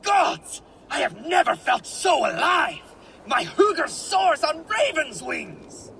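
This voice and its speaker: An excitable young game warrior with an extremely high, slow-paced delivery. He is naive, theatrical and full of boasts. Clear noise runs behind the voice. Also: shouting, exaggerated voice. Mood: elated. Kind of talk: video game character acting. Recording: clear background noise and ambience.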